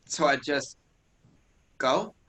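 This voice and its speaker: A man acts as a young farm boy in a staged play reading. His voice is bright and forward, and he sounds earnest and obedient, eager but a little hesitant. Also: a little high, expressive, drawn-out words, full character voice.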